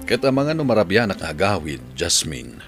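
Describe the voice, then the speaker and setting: A man's voice: deep voice, sing-song, moderate pace, vocal fry, music in the background, exaggerated voice. A man playing a kindly, easygoing father in a Filipino radio drama. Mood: mild, fatherly.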